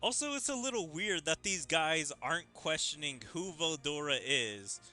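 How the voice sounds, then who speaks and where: A man giving commentary on a game story in an animated way with drawn-out phrasing. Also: on the high side, soft background music. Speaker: gaming content creator. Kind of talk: game story commentary and dialogue read-aloud.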